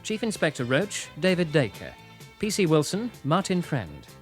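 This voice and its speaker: A male announcer delivers scripted radio drama credits. His voice is fairly high with animated pitch, over faint music. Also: a little slow. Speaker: continuity announcer reading cast credits.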